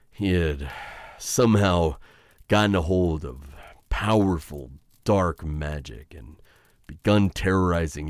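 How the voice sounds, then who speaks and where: A man playing a menacing, conflicted witch hunter in an ASMR roleplay, addressing his captive in a halting, intimate, taunting way. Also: rumbling low, no echo, clean studio sound, exaggerated voice.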